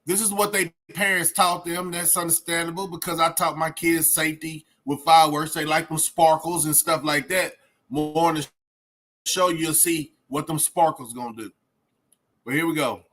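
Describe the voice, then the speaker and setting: Bright-voiced man rambling informally. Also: on the high side, steady tone, normal speed. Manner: colloquial, rambling, slangy delivery. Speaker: reaction video host. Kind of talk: informal reaction commentary.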